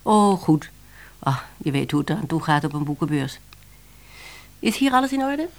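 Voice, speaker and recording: An actress playing a brisk, businesslike woman publishing editor in a Dutch radio play, questioning and suspicious. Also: low-pitched, very animated, a little mellow.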